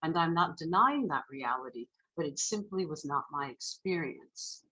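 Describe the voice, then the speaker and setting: Low, husky-voiced woman minister. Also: expressive, bright, forceful tone, low-quality recording. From Haiti. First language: French. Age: 40s. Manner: measured, reflective storytelling. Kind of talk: sermon.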